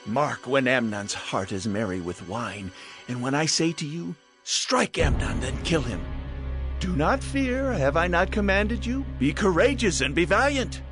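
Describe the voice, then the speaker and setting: A persuasive, scheming young prince in a scripture dramatization. The voice sits slightly high and moves expressively, with clear music behind it. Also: male voice, very animated, measured pace. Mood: commanding. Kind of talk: dramatized Bible audio acting. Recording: music under the voice.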